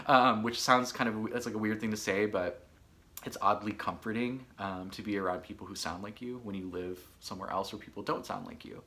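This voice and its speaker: A man in his thirties from Michigan, hosting a podcast in casual solo chat, chatty and a bit hoarse, with lots of filler words. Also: on the low side, fairly even intonation, normal speed, bright, forceful tone. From Michigan, USA. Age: 30s. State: hoarse from allergies. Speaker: podcast host.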